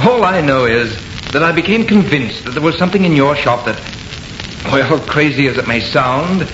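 An actor playing a polite, intense artist, with a courteous, earnest, theatrical manner in 1930s radio drama style. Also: male voice, on the high side, expressive, moderate pace, close to an everyday voice. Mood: puzzled. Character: polite, intense artist drawn by strange compulsion. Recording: noisy, muffled, echoey old radio.

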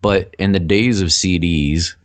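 A middle-aged man with a low voice, co-hosting a casual podcast chat in a chatty, rambling way. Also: measured pace, dry recording. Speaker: podcast co-host.

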